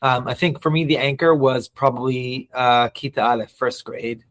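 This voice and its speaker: A man in his thirties who grew up between the US and Israel, a podcast guest telling stories in a reflective, halting way. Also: medium pitch, fairly even intonation. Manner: reflective, many restarts and fillers. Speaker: guest, nonprofit CEO. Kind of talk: interview.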